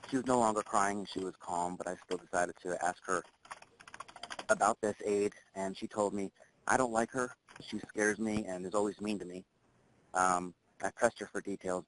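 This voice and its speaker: A man's voice: fairly low pitch, a bit bright, a little hiss or hum, lightly acted. A man playing a middle-aged dentist with an American accent, giving a hesitant, matter-of-fact witness report in a simulated phone call. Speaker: caller reporting an incident.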